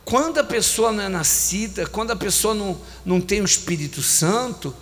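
A man in his forties with a Brazilian Portuguese accent, preaching a sermon on a handheld mic, repeating phrases with emphasis and slowing down on key lines. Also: sits high, animated, fairly bright tone. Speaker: bishop preaching. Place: large church hall. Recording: long church hall reverb, faint hum.

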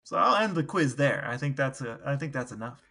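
A man co-hosting a podcast in casual banter, explaining things in a measured way. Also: mid-range voice, animated, bright, forceful tone. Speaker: podcast co-host.

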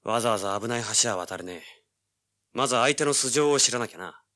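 An actor playing a brash young male treasure hunter in an audio drama, with a rough, slangy, hard-boiled delivery. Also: mid-range voice, expressive, quick pace, sharp tone. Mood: brusque. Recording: noisy old cassette audio drama.

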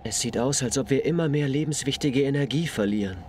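A man's voice: medium pitch, a little fast, a little mellow, a little hiss or hum, close to an everyday voice. An actor playing a solemn male alien villain in an audio drama, with a flowery way of speaking. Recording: music under the voice.

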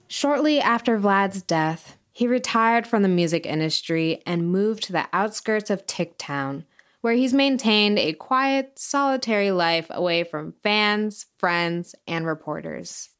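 A woman playing a solemn documentary podcast host, delivering scripted narration in a measured way. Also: mid-range voice, expressive, natural voice. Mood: sombre. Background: music.

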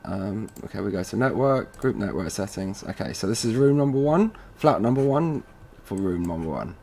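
A man presenting an informal software tutorial, casually thinking aloud as he goes step by step. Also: on the low side, animated, moderate pace, vocal fry. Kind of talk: informal screen-recorded software walkthrough. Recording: noisy room with keyboard typing.

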